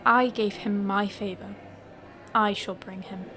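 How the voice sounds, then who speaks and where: A woman's voice: mid-range voice, fairly even intonation, unhurried, fairly bright tone, natural voice. A woman playing a young king's daughter in a fantasy audio drama, formal and composed. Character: poised, formal noble daughter of a king.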